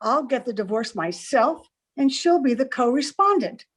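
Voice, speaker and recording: A woman acting a brassy, brash dame in an old-time radio comedy. Her voice sits high and her talk is blunt and slangy, like a woman hired to play the wronged wife. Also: lively intonation, moderate pace, husky. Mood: accusing. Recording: amateur group video-call recording.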